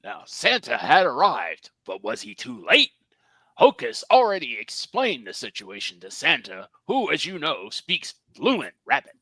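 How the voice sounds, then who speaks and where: A man's voice: on the high side, huge pitch swings, a little slow, sharp tone, husky, no room sound, poor audio. A man narrating a cartoon table read in a theatrical, folksy storybook style with emphatic phrasing.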